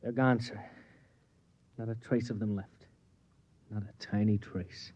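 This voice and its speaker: A man's voice: lowish voice, steady tone, unhurried, a little mellow. A man playing a thoughtful, deferential officer in an old radio play. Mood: subdued. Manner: earnest, deferential. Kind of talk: 1950s radio drama acting. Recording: old radio broadcast with faint hiss.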